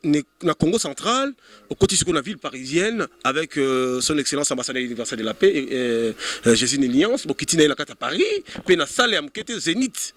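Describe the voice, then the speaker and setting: Bright-voiced male interviewer. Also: a little high, expressive, fairly quick, no room sound. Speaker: interviewer, show host. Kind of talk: casual broadcast interview in mixed French and Lingala.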